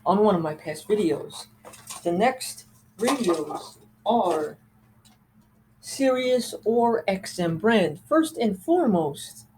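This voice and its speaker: Casual gadget reviewer, mellow relaxed voice, kinda rambles, bit of noise behind. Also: mid-range voice, low-quality recording. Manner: conversational, rambling with asides. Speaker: host reviewing a radio collection. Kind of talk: casual product show-and-tell.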